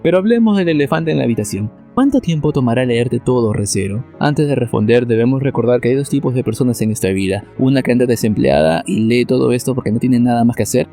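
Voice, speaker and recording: Fast, gravelly-voiced young man narrating. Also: mid-range voice, dark tone. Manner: wry, dry humor. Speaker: YouTuber. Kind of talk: scripted video-essay narration.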